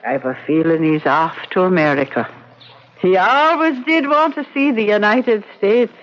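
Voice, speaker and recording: A woman's voice: medium pitch, moderate pace, natural voice. An actress playing a knowing, wry woman in an old radio drama. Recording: noisy, muffled old radio recording.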